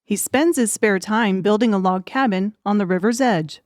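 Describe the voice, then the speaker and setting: A woman's voice: medium pitch, normal speed, darkish tone, no room sound. A female audiobook narrator with a mid-range voice. She reads scripted prose in a very dry, close recording with no audible room.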